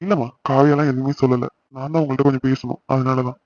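A man's voice: mid-range voice, fairly even intonation, quick pace, a little mellow, no echo, muffled recording, lightly acted. A man playing a protective, emotional young older brother in a family drama, earnest and insistent. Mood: calm, reasoning. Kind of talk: melodramatic family audio drama.

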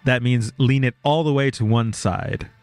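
A man's voice: medium pitch, normal speed, darkish tone, dry recording. A male podcast co-host casually reading out stories, swearing freely and laughing often.

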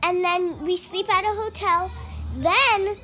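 A little girl co-hosting a casual vlog, speaking slowly and haltingly on a phone camera. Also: very high voice, steady tone, sharp tone. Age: child. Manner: slow, halting, restarts words. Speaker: vlog co-host.

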